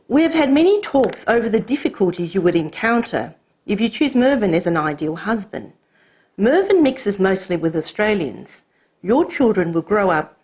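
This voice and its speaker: A woman raised in Hong Kong whose first language is Cantonese, telling her family's story in measured, reflective scripted narration. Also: medium pitch, darkish tone, husky. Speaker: narrator of her own short film.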